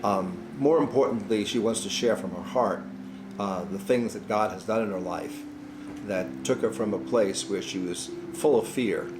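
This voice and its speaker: A man's voice: lowish voice, normal speed, echoey room, background noise. A man hosting a faith-based podcast interview, speaking earnestly and encouragingly. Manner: earnest, encouraging, religious framing. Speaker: podcast host.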